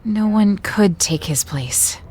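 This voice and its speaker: Slow, animated, anxious overthinker. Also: female voice, mid-range voice, husky. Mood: earnest. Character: anxious, overthinking woman hesitant about marriage. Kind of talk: audio drama acting.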